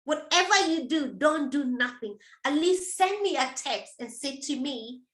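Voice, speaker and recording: A middle-aged woman with a high voice speaks slowly and deliberately as a coach, encouraging her listeners and repeating key phrases. Her voice rings out in an echoey room. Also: lively intonation, fairly bright tone. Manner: encouraging, repetitive, direct address to listener. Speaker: menopause coach and host. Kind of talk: live motivational talk to viewers.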